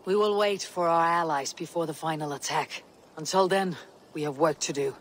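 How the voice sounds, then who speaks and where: A woman playing a proud, stoic Viking warrior leader in a video game, measured and resolute. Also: deep voice, slight background noise, natural voice. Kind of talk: video game dramatic acting.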